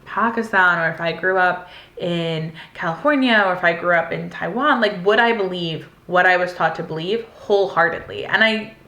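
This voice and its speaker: Young adult woman, candid and rambling storyteller, lowish bright voice, reverberant room. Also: normal speed. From United States. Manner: candid, rambling, frequent "like" fillers and restarts. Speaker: vlogger. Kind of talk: casual personal storytelling. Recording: echoey room.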